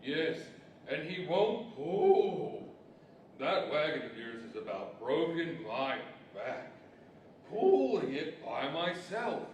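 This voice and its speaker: A very echoey, distant recording of a man playing an overworked ox. The delivery is slow and swooping, a weary, aggrieved grumble from an old-style radio play. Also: on the high side, sing-song. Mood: weary, grumbling. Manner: plodding, grumbling. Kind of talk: 1940s-style radio theater acting. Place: large library event room, live stage. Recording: very echoey, distant live hall, faint noise.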